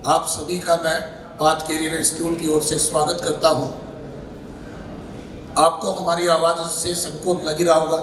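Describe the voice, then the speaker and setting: A hoarse male teacher, giving a heartfelt, reflective address at a public event. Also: a little high. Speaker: teacher at coaching institute. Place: large hall. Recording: distant voice in echoey hall with faint noise.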